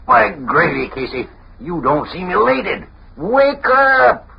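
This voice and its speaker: An actor playing a bossy, sarcastic newspaper editor with slangy, emphatic delivery in old-time radio style. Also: male voice, high-pitched, big pitch swings, takes it slowly, gravelly, full character voice. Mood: gleeful. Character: gruff, fast-talking male newspaper city editor, excitable, sarcastic. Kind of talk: 1930s-40s radio acting. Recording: dull vintage radio recording, faint hiss.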